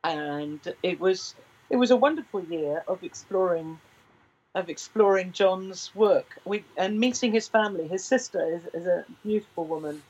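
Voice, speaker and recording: A woman in her sixties, a singer with a deep baritone voice, telling stories in an interview with many restarts. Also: normal speed, a little mellow, breathy edge, lo-fi sound. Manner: rambling, with frequent restarts. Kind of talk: relaxed conversational storytelling.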